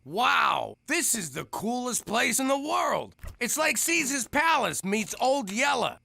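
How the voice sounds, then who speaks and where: A man's voice: very high-pitched, very animated, takes it slowly, vocal fry, bright, forceful tone, a little hiss or hum, heavily acted. A man voicing a hapless, guilt-ridden mob man in a cartoon, with a mumbly, self-pitying, wisecracking way of talking. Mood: awed. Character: hapless, guilt-ridden middle-aged mob man, kicked out by his wife. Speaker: main character. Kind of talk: animated sitcom voice acting.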